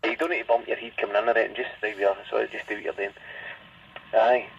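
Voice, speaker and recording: A man's voice: lowish voice, fairly even intonation, fast talker, vocal fry. A man with a Glasgow-area Scottish accent rambling conversationally over a CB radio, heard through the set's speaker. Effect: CB radio transmission. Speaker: CB radio operator. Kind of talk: casual radio chat. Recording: muffled, distant, noisy CB radio speaker in car.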